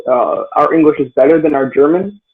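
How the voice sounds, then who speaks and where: Teen male guest, slightly high voice, halting with lots of fillers, narrow phone-like sound. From United States. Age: teens. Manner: hesitant, many fillers and restarts. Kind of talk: casual interview answers. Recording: tinny phone-like line.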